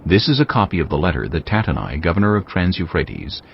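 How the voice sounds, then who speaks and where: A man narrating scripture from a script, as a measured, solemn storyteller in a dramatized production. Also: sits low, very animated, vocal fry. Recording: slightly dull with faint background noise.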